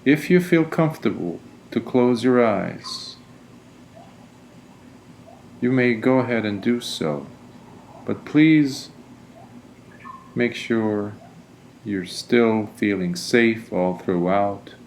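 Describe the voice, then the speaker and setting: A man leading a guided meditation as a teacher, speaking in a gentle, deliberate, soothing manner. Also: on the low side, lively intonation, slight background noise.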